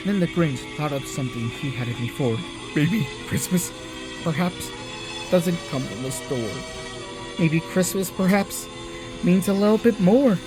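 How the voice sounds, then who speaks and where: A narrator giving a theatrical, expressive read-aloud of a rhyming children's story. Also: mid-range voice, rough recording.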